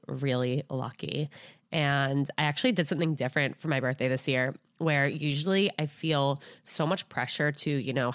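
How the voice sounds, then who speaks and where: A woman's voice: low-pitched, dry recording, studio quality. A woman in her late twenties, possibly, hosting a podcast in a casual, upbeat way with many fillers. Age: 20s. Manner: chatty, upbeat, lots of fillers like "like" and "you know". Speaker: podcast host. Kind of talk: casual solo podcast intro.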